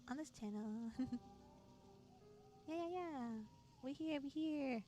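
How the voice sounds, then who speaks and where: A woman's voice: high-pitched, slow pace, warm tone. A woman chatting casually on a livestream in a playful, giggly manner. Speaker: streamer playing a visual novel. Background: music.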